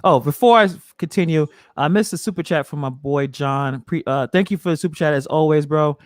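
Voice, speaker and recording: Higher-voiced man hosting a chatty stream. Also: fairly quick, no echo, studio quality. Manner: chatty, laughs, reads chat aloud. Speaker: host of a livestream. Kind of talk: casual livestream interview.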